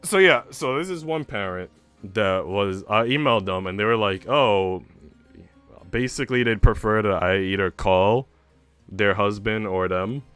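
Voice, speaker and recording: A man's voice: fairly low pitch, a little slow, a bit bright, clear, resonant tone, dry recording. A young American man chatting casually with livestream viewers in slangy, informal banter, swearing freely. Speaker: livestreamer and host. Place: indoor room at home. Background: music.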